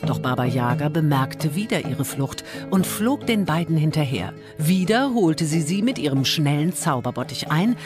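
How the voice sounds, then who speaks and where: Woman telling a fairy tale, low voice, really animated, music behind. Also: moderate pace, few pauses. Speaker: storyteller. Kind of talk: scripted fairy tale narration.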